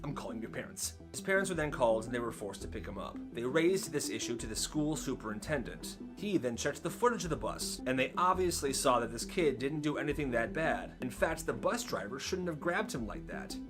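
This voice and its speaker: A man's voice: medium pitch, very animated, a little fast, few pauses, over music. A man narrating short comic stories in a casual, slangy, conversational style. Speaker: storyteller, host of short comedy videos. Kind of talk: casual comedic storytelling narration.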